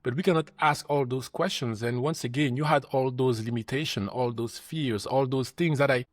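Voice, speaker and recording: Male host from Cameroon, earnest, mid pitch, dry close recording. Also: normal speed, no echo. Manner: earnest, drops low and soft at ends of phrases. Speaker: podcast host and life coach. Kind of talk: interview conversation.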